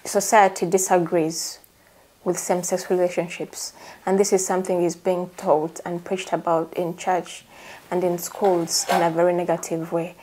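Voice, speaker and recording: A woman's voice: on the low side, level tone, normal speed, mellow, low-quality recording. A woman hosting a conversational interview. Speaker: host.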